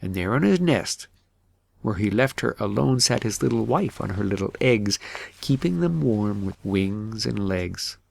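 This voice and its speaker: A man narrating a fairytale audiobook in an expressive, measured storybook manner. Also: fairly low pitch, creaky.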